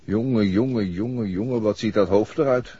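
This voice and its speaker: An actor playing an excitable, suspicious man in a 1960s radio drama. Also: on the low side, normal speed, husky. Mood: shaken. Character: excitable, suspicious man, the doctor's male companion. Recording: dull, noisy vintage radio recording.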